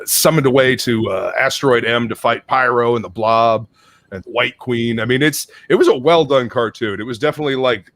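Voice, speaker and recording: A man's voice: medium pitch, fairly bright tone. Rambling man chatting on a stream. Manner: rambling, profane, greets chat viewers. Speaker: stream host. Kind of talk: casual chat.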